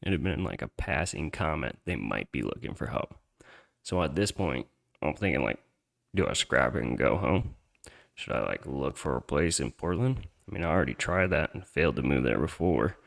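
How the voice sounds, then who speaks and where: A man's voice: a bass voice, expressive, normal speed, creaky. A man hosting a podcast, telling a personal story in a casual, slangy, conversational way with some swearing.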